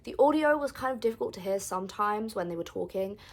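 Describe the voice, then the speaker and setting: Hoarse, excited young woman. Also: mid-range voice, fast talker. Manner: excited, gushing, chatty. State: hoarse, gravelly from lost voice. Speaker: vlogger. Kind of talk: casual vlog chat.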